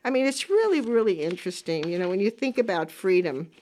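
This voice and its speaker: A woman's voice: medium pitch, big pitch swings, a little fast, a little mellow. A woman in her seventies hosting a talk radio show, speaking casually and emphatically, repeating phrases for stress as she gives her opinions. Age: 70s. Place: radio studio.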